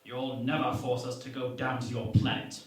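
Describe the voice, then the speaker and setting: A man playing a composed, pompous starship captain in a parody sci-fi radio play, speaking in a measured, formal way. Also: medium pitch, little pitch movement, close to an everyday voice. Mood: firm. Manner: measured, formal, mock-dignified. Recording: very echoey, distant mic, music behind.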